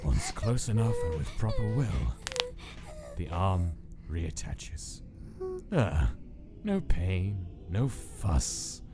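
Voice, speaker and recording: A man voicing a cold, clinical male scientist who dictates experiment logs in a detached, measured way. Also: low-pitched, very animated, noisy background, full character voice. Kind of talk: fan comic dub narration.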